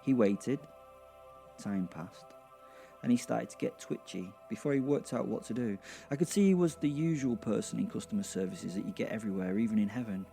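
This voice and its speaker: A man with a low, mellow voice full of creak narrates a first-person horror comedy. He is wry and conversational, drawing out words for emphasis, with faint music behind him. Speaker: narrator of a serial audio drama.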